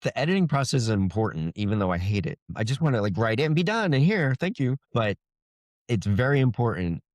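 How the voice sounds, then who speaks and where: A man's voice: mid-range voice, sing-song, fairly quick, dry recording. A man being interviewed as an author, explaining things in an informal, loosely phrased, conversational way.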